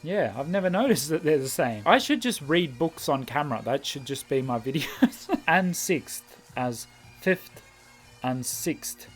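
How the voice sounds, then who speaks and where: A man's voice: on the high side, animated, measured pace, soft background music. A man vlogging as he reads passages from a book aloud, commenting in between and reacting with surprise and laughter. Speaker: language vlogger.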